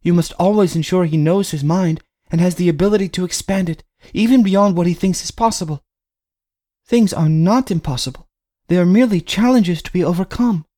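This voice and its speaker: A man putting on a fervent young wife's high voice. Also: brisk, warm tone, lightly acted. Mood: earnest. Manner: earnest, pleading. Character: devoted pregnant young wife, earnest and fervent.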